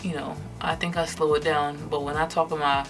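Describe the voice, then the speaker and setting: A woman's voice: low-pitched, level tone, a little fast, music in the background. A tired young woman from Trinidad and Tobago with a light Trinidadian accent, chatting candidly to her camera while she does her makeup at home, with lots of fillers and restarts. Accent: Trinidadian English, lightly. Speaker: vlogger. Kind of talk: casual chat to camera while doing makeup. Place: indoors at home, night.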